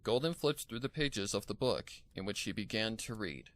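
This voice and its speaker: A man's voice: lowish voice, lively intonation, normal speed, a bit bright. A man reading a scripted story aloud as its narrator. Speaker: fan fiction narrator.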